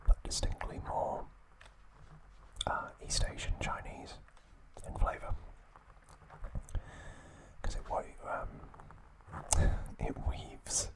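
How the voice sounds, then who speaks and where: A man speaks entirely in a breathy whisper, in the manner of an ASMR host. He goes very slowly, in short hushed phrases with long pauses between them. The delivery is halting and informal, close to the mic. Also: poor audio.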